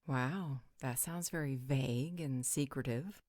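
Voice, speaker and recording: A composed, gently teasing vampire woman acted by a woman in a roleplay drama. Her voice sits low, with a soft dark tone. It is recorded very dry. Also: measured pace, dry recording. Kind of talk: roleplay audio drama acting.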